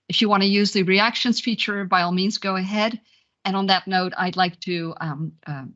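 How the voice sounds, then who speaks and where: Female webinar host with a lower-pitched voice, semi-scripted introductions, dull video-call sound. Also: a bit bright.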